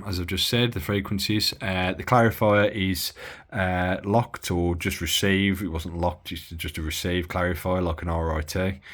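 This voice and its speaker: A deep-voiced man talks through an old radio he is testing at the bench. His delivery is casual and rambling, full of filler words and mid-sentence corrections. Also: a bit bright. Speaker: radio hobbyist reviewing gear. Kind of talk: casual bench-test walkthrough.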